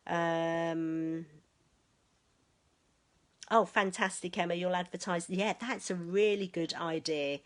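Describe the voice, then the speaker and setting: A woman's voice: on the low side, fairly even intonation, slow, pauses often. A woman hosting a casual live stream for her sales team, chatty and encouraging, reading viewers' comments aloud.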